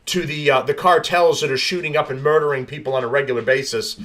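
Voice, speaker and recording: A man's voice: mid-range voice, fairly even intonation, fast, a bit bright. A man hosting a podcast, reading news stories aloud and adding blunt, profane commentary with frequent restarts. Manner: blunt, profane, rambling asides. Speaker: podcast host.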